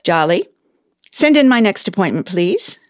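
An actress playing a cheery, brisk, officious female elf who runs personnel at the North Pole, in an audio play. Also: medium pitch, slight background noise. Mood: brisk, welcoming. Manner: chatty, expressive, officious.

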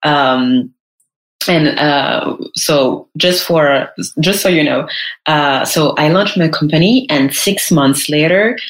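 A woman, speaking slowly in a low voice. She talks in a hesitant way, stopping to restart her sentences and filling the gaps with "um". The room around her has a noticeable echo. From France. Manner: hesitant, many fillers and restarts. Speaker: guest, personal brand stylist. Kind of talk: casual interview chat.

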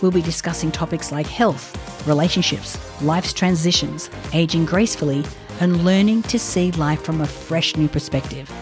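A woman hosting a podcast speaks in a low voice, chatty and friendly. Faint music sits under her voice. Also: moderate pace, few pauses. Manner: friendly, chatty, laughs often. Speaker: podcast host. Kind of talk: podcast intro and interview.

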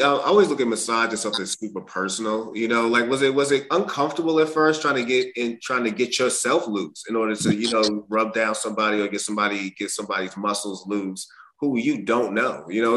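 A man hosting a podcast interview, speaking in a somewhat low voice with a bright, forward tone. He keeps things laid-back and slangy, laughing easily as he talks. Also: fast talker, rough recording. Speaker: podcast host. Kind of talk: casual interview chat.

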